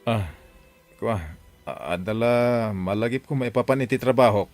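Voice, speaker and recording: An actor playing a downcast uncle in a radio drama. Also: male voice, mid-range voice, normal speed, a little mellow, faint music behind, natural voice. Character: downcast uncle talking with a child.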